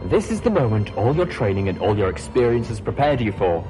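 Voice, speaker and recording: A commanding pegasus colonel mare booms out a rallying speech, as a male reader puts her on, a little raised and loud. The delivery is declamatory and stirring, like a storybook battle address. Music plays clearly under it. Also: very animated, fairly quick. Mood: rousing. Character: female pegasus officer, commanding and rousing.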